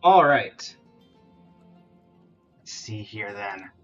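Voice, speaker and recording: A man's voice: lowish voice, sing-song, drawn-out words, a bit bright, lo-fi sound. A man giving casual live game stream commentary, often muttering to himself as he goes. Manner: muttering to himself while troubleshooting. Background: game music.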